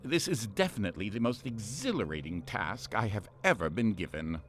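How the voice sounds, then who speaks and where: An actor playing a pompous, sarcastic artificial intelligence ship companion for a game voice pack, full of condescending disdain. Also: male voice, fairly low pitch, lively intonation, fairly quick, a bit bright. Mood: sardonic. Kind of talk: scripted game voice-pack acting.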